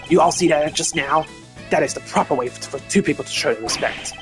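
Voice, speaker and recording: A man's voice: fairly high pitch, a little fast, over music, natural voice. A man voicing a brash, proud, scornful Interpol agent in a video game, with a swaggering, slangy, confrontational manner. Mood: lecturing.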